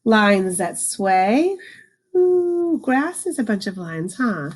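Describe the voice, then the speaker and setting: A woman reading a picture book aloud to children, adding informal explanations and slowing down for the book's lines. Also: medium pitch, very animated, breathy edge. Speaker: read-aloud host, teacher for children.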